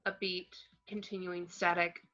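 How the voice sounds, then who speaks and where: A woman reading screenplay action descriptions as narration, in a plain, measured way. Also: fairly low pitch, little pitch movement, bright, forceful tone. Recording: slightly dull home microphone.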